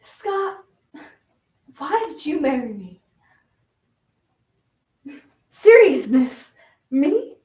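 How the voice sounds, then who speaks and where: A woman's voice: sits high, big pitch swings, drawn-out words, long pauses, darkish tone, heavily acted. A woman playing a flamboyant, wistful Southern belle flapper in a theatrical stage monologue. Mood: coy, teasing. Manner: dramatic, swinging from coy reminiscence to defiant outbursts. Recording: roomy echo, distant microphone.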